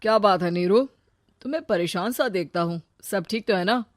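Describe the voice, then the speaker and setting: A woman voicing a charming, persuasive young man in a cartoon story, talking quickly and softly. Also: medium pitch, no echo, clean studio sound, natural voice. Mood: concerned. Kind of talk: Hindi cartoon story voice acting.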